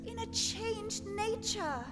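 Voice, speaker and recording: A woman's voice: extremely high pitch, lively intonation, slow pace, heavily acted. A woman playing a fair young fiancée, sorrowful yet resolute, in a radio play. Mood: sad resolve. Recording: reverberant hall, music underneath.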